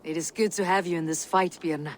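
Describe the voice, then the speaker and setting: An actress playing a composed, commanding Viking warrior in a video game, speaking firmly. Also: female voice, on the low side, fairly even intonation, fast talker, slight background noise, close to an everyday voice. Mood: steady. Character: steadfast, composed Viking warrior leader, Eivor. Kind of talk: video game cutscene acting.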